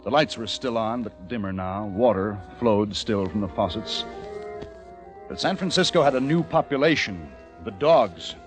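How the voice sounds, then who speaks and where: An actor playing a haunted, educated young American survivor, narrating intensely in the theatrical style of old-time radio drama. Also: male voice, on the low side, expressive, normal speed, close to an everyday voice. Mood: grim, brooding. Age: young adult. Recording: vintage radio recording with music behind.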